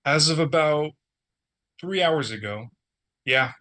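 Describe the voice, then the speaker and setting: An actor playing a dejected, sardonic man down on his luck in a naturalistic script read, deadpan and halting. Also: on the high side, full character voice.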